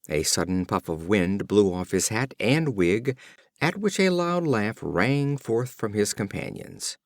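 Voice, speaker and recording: Animated deep-voiced man reading old fables. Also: no echo, studio quality. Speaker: audiobook reader. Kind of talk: scripted fable narration, storytelling.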